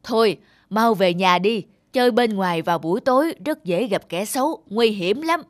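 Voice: medium pitch, expressive, normal speed, dry recording, clean studio sound, lightly acted. Actor as a stern, kindly cartoon elder. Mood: admonishing. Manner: admonishing, caring elder. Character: protective, stern but kindly older cartoon kitchen character. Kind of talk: animated children's story acting. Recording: loud music behind.

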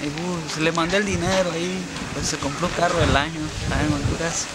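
Chatty, slightly high-voiced man. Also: fairly even intonation, a little fast, very noisy. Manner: chatty storyteller, acts out dialogue. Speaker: migrant.

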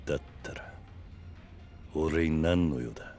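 An actor playing a stoic, tough middle-aged yakuza man in a video game, speaking in a terse, gruff, blunt way. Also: rumbling low, a little slow, darkish tone, soft background music. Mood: stern, unimpressed. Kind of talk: video game cutscene acting.

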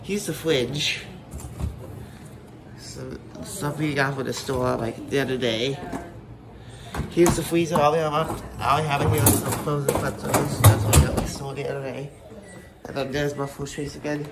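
A man giving a casual, halting tour of a rental house, filming on a handheld phone as he walks indoors. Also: medium pitch, steady tone, creaky. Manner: rambling, halting, many fillers and restarts. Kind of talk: casual handheld walkthrough narration. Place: indoors in a rental house kitchen and living room. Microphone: phone camera held while walking. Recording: loud handling noise and clicks.

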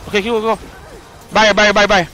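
A man in his twenties streaming a game, chatting excitedly and firing off repeated, slangy callouts to teammates. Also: high-pitched, a little fast, faint music behind, rough recording. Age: 20s. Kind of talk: excited live gameplay chat with teammate callouts. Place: indoor room at home.

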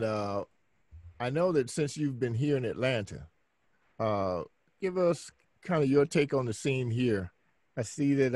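A man's voice: medium pitch, darkish tone, no room sound. A middle-aged man hosting a podcast interview over a video call, talking easygoing with fillers. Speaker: podcast host.

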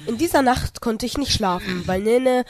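A man's voice: very high voice, moderate pace, background noise. A young man narrating an amateur parody audio drama in a dramatic storytelling manner.

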